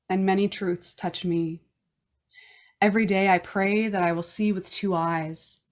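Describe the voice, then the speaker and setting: A woman from Newfoundland hosting a wellness podcast, reading a reflective personal statement in a slow, earnest way. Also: lowish voice, a little mellow. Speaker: podcast host. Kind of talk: reading a personal land acknowledgment and intro.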